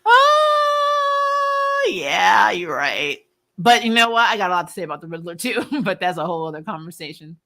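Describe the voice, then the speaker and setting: A woman hosting a casual fan livestream, talking in an animated, blunt, jokey way with some swearing. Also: mid-range voice, moderate pace. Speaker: show host reviewing superhero TV and reading live comments. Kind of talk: casual livestream chat, rambling fan commentary.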